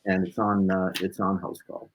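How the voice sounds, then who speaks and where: A man's voice: low-pitched, normal speed, background noise. A man being interviewed as a guest, casually telling personal stories and acting out bits of dialogue as he goes. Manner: animated anecdotal telling, acting out dialogue, tagging phrases with "right?". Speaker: interview guest, blues musician.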